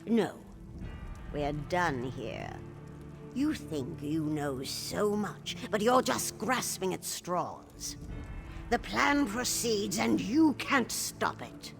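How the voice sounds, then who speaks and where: A woman playing a scheming older orc queen mother in a fantasy video game, cold, condescending and measured, with a gravelly voice. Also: on the low side, animated, heavily acted. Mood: cold resolve. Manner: measured, mocking, menacing. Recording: music playing clearly behind the voice.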